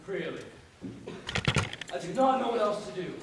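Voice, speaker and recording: Young man acting stiff high-voiced pious husband, echoey. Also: expressive, normal speed. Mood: anxious, formal. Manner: stiff, formal, righteous. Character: stern pious reverend husband committing his wife. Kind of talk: stage play acting. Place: auditorium stage, recorded from far off. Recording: very echoey stage, distant, clear hiss.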